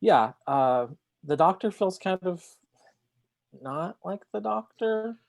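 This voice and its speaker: A man giving his views in a casual book discussion over a video call, in a tentative, halting way. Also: high-pitched, animated, creaky. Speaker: podcast panelist.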